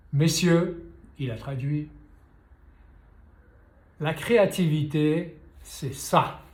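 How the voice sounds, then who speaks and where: An older man tells a story to his viewers with a warm, mellow voice. He talks in a loose, chatty way full of wry asides and direct questions. His voice echoes around the room. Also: fairly high pitch. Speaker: painter and sculptor. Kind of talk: storytelling talk to camera.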